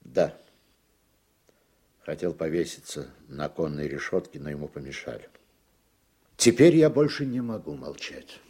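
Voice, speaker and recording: An actor playing a grave, principled middle-aged judge in a radio play, speaking deliberately in a husky voice. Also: male voice, very deep voice, expressive, dark tone, full character voice.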